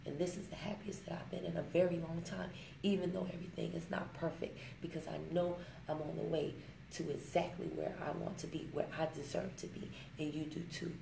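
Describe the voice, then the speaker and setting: A woman giving a motivational vlog talk on her smartphone, emphatic and preachy, slowing down to stress her points and fond of little rhymes. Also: fairly low pitch, little pitch movement, vocal fry. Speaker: network marketing vlogger. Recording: echoey room with faint ambient noise.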